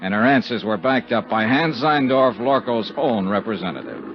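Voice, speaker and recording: An actor playing a tough, wry investigator hero with a clipped, hard-boiled manner in a 1950s radio drama. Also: male voice, lowish voice, sing-song, normal speed, loud music behind the voice. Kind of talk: 1950s radio drama acting and first-person narration. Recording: muffled, noisy 1950s radio recording.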